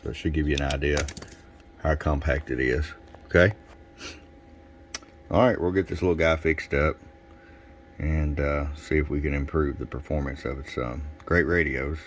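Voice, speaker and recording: Very deep-voiced man, casual demo. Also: fairly even intonation, normal speed, pauses often, slight background noise. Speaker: radio shop technician and presenter. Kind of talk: casual hands-on product demo, off the cuff. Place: indoors at a radio workbench.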